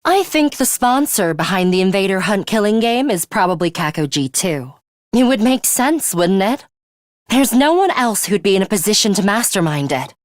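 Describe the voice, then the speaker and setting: A woman playing a serious, composed young female fighter in a video game, dryly scolding and sharp-tongued but caring. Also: mid-range voice, very animated, no room sound, studio quality, lightly acted. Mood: thoughtful, serious. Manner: measured, stern, dryly scolding.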